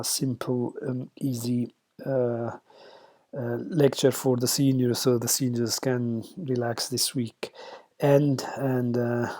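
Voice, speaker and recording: A middle-aged man whose first language is Arabic walks through an informal lecture, stopping often on ums and uhs and restarting his sentences. His voice is mellow and relaxed, sitting at an ordinary pitch for a man. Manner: hesitant, many fillers and restarts. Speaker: radiation oncology lecturer.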